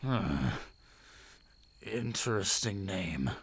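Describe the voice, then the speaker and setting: A man's voice: sits low, animated, extremely slow, breathy edge, heavily acted. A man playing a weary, serious heist crew member in an audio drama, speaking in a dry, understated way. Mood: matter-of-fact.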